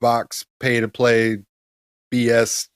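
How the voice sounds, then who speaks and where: A man's voice: mid-range voice, completely flat delivery, slow, smooth, dry recording, studio quality. A man co-hosting a casual news show, explaining things informally and giving his opinions. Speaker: co-host of a gaming news show.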